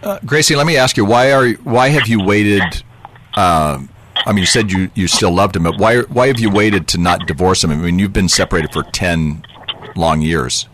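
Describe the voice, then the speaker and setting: Man, radio co-host, low voice, questioning, hesitant restarts. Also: normal speed, fairly bright tone, a little hiss or hum. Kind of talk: call-in advice show.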